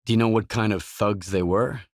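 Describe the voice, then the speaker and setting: An actor playing a gruff, guarded, hard-bitten mercenary in a video game, speaking in terse, blunt, businesslike lines. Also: male voice, on the low side, steady tone, brisk, no room sound, studio quality. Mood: inquisitive, guarded.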